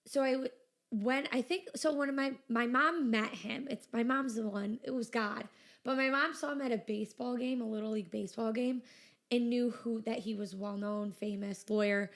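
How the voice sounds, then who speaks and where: A woman's voice: a little high, fairly even intonation, moderate pace. A woman in her twenties, a business owner, chatting casually as a podcast guest and filling her talk with "like" and "you know". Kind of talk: casual interview chat.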